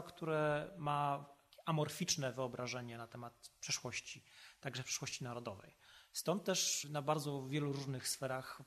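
A man speaking as an expert commentator in an analytical podcast interview, explaining in an articulate, measured way. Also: medium pitch, fairly bright tone.

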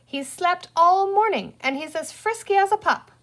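A woman voicing a busy, fed-up mother in a story, firm, brisk and insistent. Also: very high-pitched, animated, a bit bright, pitched above the performer's own voice.

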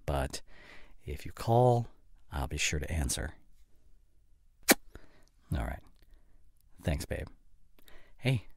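A man's voice: low voice, a little mellow, dry recording, heavily acted. A man playing a forgetful, dismissive young gamer boyfriend in an audio roleplay, with a casual, slangy, coaxing way of talking. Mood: soothing. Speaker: voice actor.

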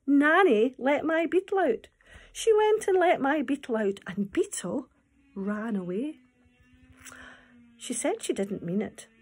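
A Scottish woman in her fifties talking and reading to young children at storytime, expressive, in simple phrases with questions to the listeners. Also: sits high. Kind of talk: storytime talk and poem reading for small children. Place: small room at home, indoors. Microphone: phone or tablet at arm's length.

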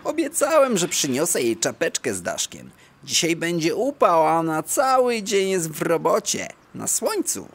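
Small cocky boy terrier, children's radio play: breezy hustler, low husky register, swooping delivery. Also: wildly swooping pitch, moderate pace, full character voice. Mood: breezy, eager. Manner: breezy, slangy patter. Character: small, nervous yet breezy, self-assured male terrier. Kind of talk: children's audio play acting.

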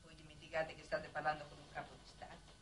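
A woman's voice: sits low, monotone, quick pace, a bit bright. An actress playing a blunt, coolly defiant exiled woman in a 1960s Italian television drama, speaking tersely and with restraint. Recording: noisy old 1960s TV soundtrack.

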